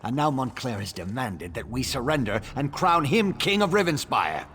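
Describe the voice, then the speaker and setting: A man's voice: mid-range voice, sing-song, moderate pace, a bit bright, faint music behind. An actor playing a stern, indignant baron defending his city in a fantasy video game, clipped and commanding.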